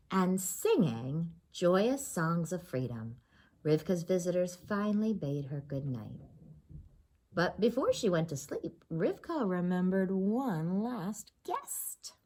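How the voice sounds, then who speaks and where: A woman reading a children's holiday story aloud, expressive and addressing her young listeners with questions. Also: on the low side.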